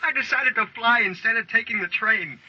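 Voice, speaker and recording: A man's voice: very high voice, a little fast, breathy edge, dry recording. A man acting a frantic, pleading younger American man in an old-time radio drama, with a strained, urgent delivery. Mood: distraught. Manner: urgent, distraught. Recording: dull lo-fi old radio telephone sound.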